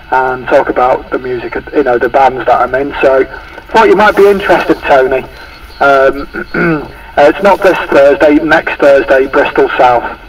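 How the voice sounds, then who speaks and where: A man chatting casually as a caller over a two-way radio. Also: medium pitch. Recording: distant, noisy two-way radio transmission.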